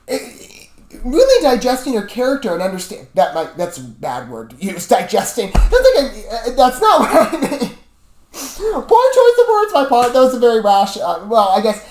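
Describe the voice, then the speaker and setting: A woman reviewer talks casually and at length to her viewers, often correcting herself as she goes. Her intonation is very animated and jumps around, and the room adds a noticeable echo. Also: medium pitch. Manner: animated, rambling, self-correcting. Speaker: reaction video host and reviewer. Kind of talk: casual rambling commentary to viewers. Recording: roomy, echoey room sound.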